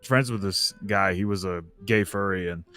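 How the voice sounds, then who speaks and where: A young man telling a casual personal story as a guest, halting and repeating words as he quotes dialogue. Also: sits low, bright, forceful tone, no echo. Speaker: livestream guest. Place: online voice chat on a livestream. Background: music playing behind the voice.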